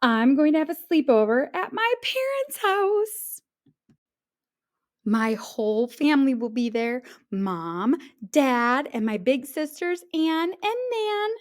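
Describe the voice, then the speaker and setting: A woman voicing an excitable, grumpy young male ape in a children's storybook, exclaiming and whining indignantly. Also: high-pitched, slow, pitched above the performer's own voice, full character voice. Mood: excited. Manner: exclaiming, whiny and indignant when crossed. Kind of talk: children's storybook read-aloud.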